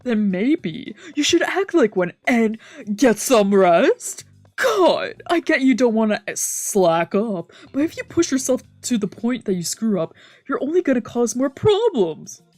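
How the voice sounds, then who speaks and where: A woman voicing an emotional, exasperated young man from a visual novel, scolding and pleading in an animated, emphatic way. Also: a little high, measured pace, no room sound, soft background music, pitched above the performer's own voice. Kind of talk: expressive read-aloud dub of a visual novel.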